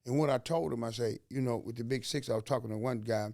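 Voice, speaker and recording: A man's voice: medium pitch, fast, gravelly, mellow, no echo, studio quality. A man from Alabama with a Southern accent, hosting a podcast in a folksy, preachy way, full of slang and rhetorical questions. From Alabama, USA. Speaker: podcast host. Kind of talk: motivational podcast talk.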